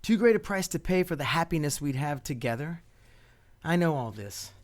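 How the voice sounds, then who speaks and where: An actor in a radio play playing a tender, persistent married doctor in love, earnest and pleading in manner. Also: male voice, fairly high pitch, animated, moderate pace, lightly acted. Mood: tender, sorrowful.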